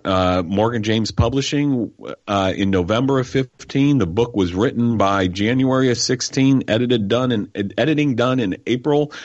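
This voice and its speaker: A man's voice: lowish voice, dry recording, muffled recording. A man from New York with a Texas-tinged accent, a guest author telling stories in a radio interview. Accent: Texas-tinged American. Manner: folksy storyteller, acts out quoted voices.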